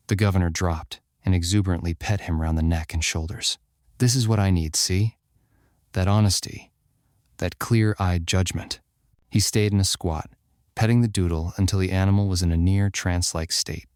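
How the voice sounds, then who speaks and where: A man reading scripted fiction as a narrator, voicing dialogue in a measured, dramatic storytelling manner. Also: low voice, darkish tone, no room sound, clean studio sound. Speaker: audiobook narrator.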